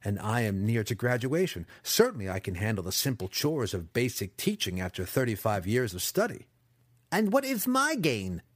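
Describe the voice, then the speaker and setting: A man voicing a desperate, proud, vengeful male dark elf student in an audiobook, indignant and excitable. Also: lowish voice, normal speed, no echo, studio quality. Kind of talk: audiobook character acting.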